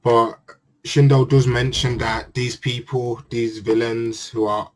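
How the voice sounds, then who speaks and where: A man's voice: mid-range voice, flat delivery, slow pace. A man hosting his own channel, reviewing a manga chapter in a chatty, earnest way and often restarting his phrases. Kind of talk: casual spoken review monologue.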